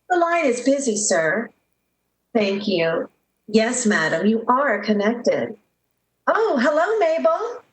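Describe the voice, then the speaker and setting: A woman acts out a young switchboard operator in love, from a 1930s radio drama. Her voice is fairly high and swoops expressively. She is dreamy, earnest and gushingly romantic. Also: slight background noise, low-quality recording. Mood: brisk, polite.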